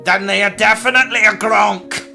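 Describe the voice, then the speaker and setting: A man voicing a gruff, exasperated railway fireman with an exaggerated mock Scottish accent, loud and scolding. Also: sits high, steady tone, normal speed, soft background music, voice pushed up, heavily acted.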